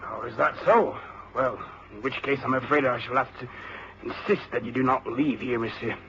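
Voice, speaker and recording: Stern police inspector, muffled recording. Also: male voice, medium pitch, normal speed, sharp tone. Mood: firm, official. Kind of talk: radio drama acting. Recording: dull radio recording, faint noise.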